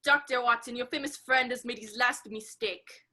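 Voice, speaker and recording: A woman's voice: fairly high pitch, moderate pace, pushed and bright. A woman playing a smug, accusing French police chief in a 1940s radio mystery, formal and sardonic.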